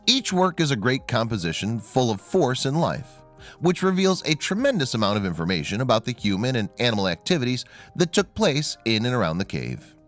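A man reading a scripted documentary narration in a measured, expressive way. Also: mid-range voice. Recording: voice-over with faint music behind.

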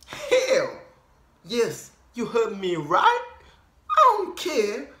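A young man from Cameroon playing a brash young man who dumps his girlfriend over the phone, ranting loudly and defiantly. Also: wildly swooping pitch, drawn-out words, heavily acted. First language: Bafang. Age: young adult. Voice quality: loud, high-pitched, defiant ranting. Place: small room indoors. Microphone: camera at desk distance. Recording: roomy echoey room.

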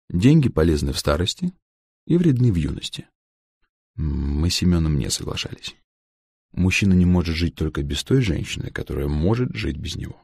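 A man reading a humorous literary story as an audiobook narrator, with a gravelly voice and wry, dry irony. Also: deep voice, darkish tone, dry recording.

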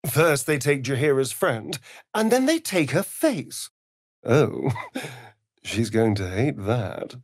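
A man's voice: medium pitch, sing-song, slow pace, no room sound, studio quality, full character voice. A man with a British accent voicing a vain, sardonic, seductive vampire rogue in a fantasy video game, with an arch, flamboyant, mocking manner. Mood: wry, mocking.

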